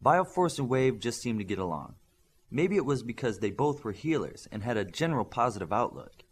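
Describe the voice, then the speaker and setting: A man's voice: mid-range voice, expressive, a little fast, slightly rough. A man reading a scripted fiction story as an audiobook narrator.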